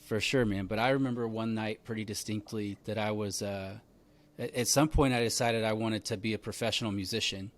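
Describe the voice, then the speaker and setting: A man in his thirties hosting a podcast, telling stories in a relaxed chatty way full of "like" and "man". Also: on the low side, brisk. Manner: relaxed storytelling, lots of fillers like "like" and "man". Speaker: podcast host. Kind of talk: casual conversational interview.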